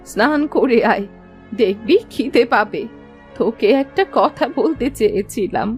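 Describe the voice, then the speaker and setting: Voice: medium pitch, animated, unhurried, faint music behind, close to an everyday voice. A woman voicing a caring, fretful old village grandmother in a cartoon story, coaxing and affectionate. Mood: fond.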